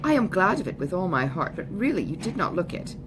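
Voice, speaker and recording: A woman's voice: on the low side, very animated, fast talker, noisy background. A woman reading an audiobook, voicing a gushing, self-satisfied young woman with falsely sweet, emphatic chatter. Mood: falsely concerned. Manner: effusive, emphatic, ungrammatical genteel chatter. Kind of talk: audiobook character dialogue.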